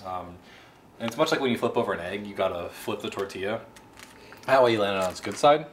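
A man's voice: fairly low pitch, fast. Young man, noisy camera audio. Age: 20s. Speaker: host of a comedic cooking segment. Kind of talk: mock cooking tutorial, casual vlog. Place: home kitchen indoors. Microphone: camera mic held by another person nearby.

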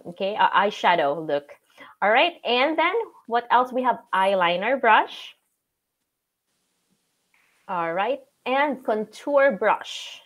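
A woman in her thirties with a Filipino accent and a raspy voice, hosting a live video chat and going over makeup brushes. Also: mid-range voice, fairly bright tone. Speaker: host of a live show. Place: home room with shelves.

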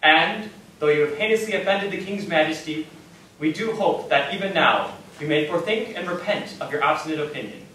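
Bright, forceful English lord played by a man. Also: on the high side, fairly quick. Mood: stern. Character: blustering English nobleman, lord presiding at trial. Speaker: stage actor. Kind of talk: theatrical period stage acting. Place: large theatre stage, indoors. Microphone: distant camera in the audience. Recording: echoey theatre from far off, background noise.

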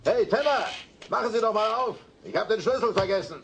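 A man's voice: high voice, lively intonation, brisk, vocal fry. A man playing a blunt, blustering middle-aged neighbour in a sitcom audio play. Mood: brusque. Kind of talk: German-dubbed sitcom audio play acting. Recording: noisy old cassette audio play.

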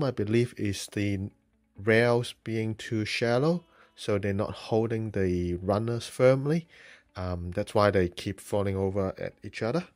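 A man hosting a model-building show, explaining the steps plainly, with pauses and ums. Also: medium pitch, darkish tone. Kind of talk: hobby tutorial voice-over.

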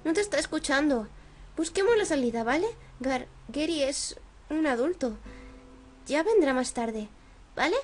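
An eager, bossy game girl put on by a woman with a Spain accent. Her voice is high and insistent, impatient to escape. Faint music plays behind. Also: animated, normal speed, long pauses, a little mellow. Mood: urging. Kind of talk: acting out game dialogue.

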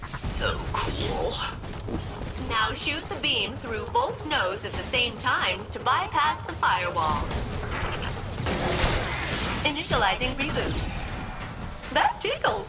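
A woman's voice: on the high side, very animated, takes it slowly, long pauses. An actress voicing a quirky, cheerful female ship AI in a video game, with a chirpy, playful delivery. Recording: game audio with loud music.

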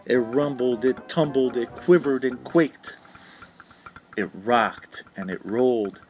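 A man's voice: mid-range voice, slow pace, darkish tone. A man reading a children's storybook aloud in a rhythmic, sing-song way. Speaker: children's book read-aloud narrator. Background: instrumental music.

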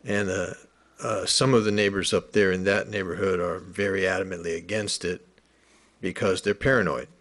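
Middle-aged male, low pitch, matter-of-fact and measured, informal civic-comment delivery. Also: fairly even intonation, a bit bright. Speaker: member of the public commenting at a hearing. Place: large council chamber, indoors. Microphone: podium gooseneck microphone.

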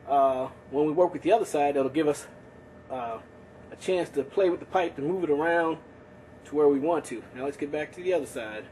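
A man working as a plumber, explaining his work in a relaxed, practical way with frequent fillers while working in a basement. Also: fairly high pitch, fairly quick, a little hiss or hum. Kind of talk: instructional voice-over while working.

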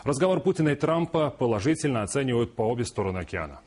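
A man's voice: medium pitch, very animated, fast, darkish tone. A man narrating a scripted television news report with faint ambience behind him.

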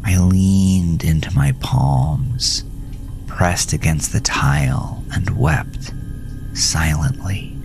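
Man, very low voice, creaky, dramatic horror narration, whispery emphasis, music behind. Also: drawn-out words. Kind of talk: dramatic scripted first-person horror storytelling.

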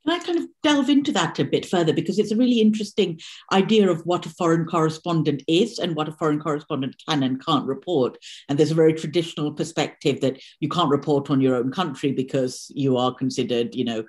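A woman's voice: deep voice, fast, darkish tone. Thoughtful woman interviewer on a video call. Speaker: seminar host, interviewer. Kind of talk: conversational interview questions. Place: room indoors, video call.

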